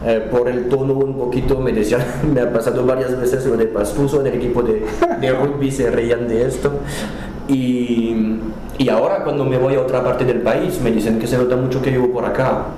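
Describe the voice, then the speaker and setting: A man's voice: medium pitch, steady tone, mellow, very echoey, slight background noise, poor audio. A man from France speaking Spanish with a French accent, chatting as an interview guest and often restarting his words. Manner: halting, restarts words, friendly. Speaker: guest, cultural center director. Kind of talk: casual conversational interview.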